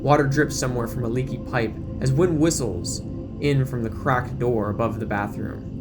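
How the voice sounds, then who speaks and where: A man's voice: medium pitch, moderate pace. Male audio drama narrator over music.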